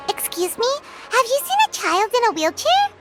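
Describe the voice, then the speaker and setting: A tiny, eager fairy sidekick from a video game. The voice is extremely high and squeaky and swoops wildly, with loud music behind. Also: female voice, big pitch swings, moderate pace.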